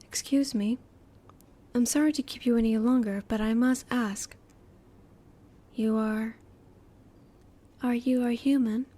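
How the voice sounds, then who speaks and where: A woman's voice: on the high side, steady tone, measured pace, long pauses, dark tone. A woman voicing a curious, polite alien in a roleplay audio drama, speaking formally and precisely with reserved emotion. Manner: formal, precise, stilted wording, politely inquisitive. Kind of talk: roleplay audio drama, one-sided dialogue to the listener.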